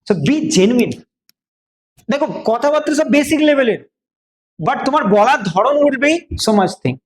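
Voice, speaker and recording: A man's voice: very high-pitched, expressive, racing. A man with a Bengali Indian English accent lecturing a class as a speaking teacher, emphatic, with rhetorical questions and repetition, switching between two languages.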